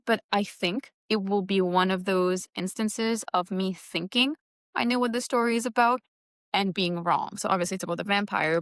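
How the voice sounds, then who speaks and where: A woman's voice: mid-range voice, a bit bright, dry recording, studio quality. A young woman, a Creole speaker, chatting casually about her plans and thinking aloud with a light, self-deprecating touch. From Mauritius. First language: Mauritian Creole. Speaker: book vlogger. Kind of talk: casual reading-plans chat.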